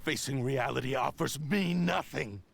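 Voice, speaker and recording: Haughty, gravelly cursed-blade spirit. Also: male voice, medium pitch, normal speed, a little hiss or hum. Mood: bitter, menacing. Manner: haughty, menacing, archaic formal speech. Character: proud, obsessive cursed sword spirit, bitter male villain. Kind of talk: video game voice acting.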